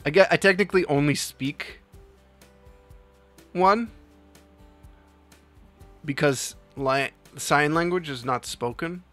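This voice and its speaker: A man's voice: on the high side, slow pace, pauses often, fairly bright tone. Chatty male streamer, faint music behind. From New Jersey. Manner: rambling, laughs often, reads chat aloud. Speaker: livestream host. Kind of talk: casual chat with live chat.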